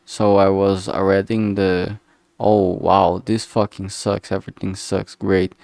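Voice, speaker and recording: A man talking casually on a work-along livestream, rambling and halting as he thinks aloud. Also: deep voice, flat delivery, creaky, a little mellow, no room sound. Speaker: livestreamer making a visual novel.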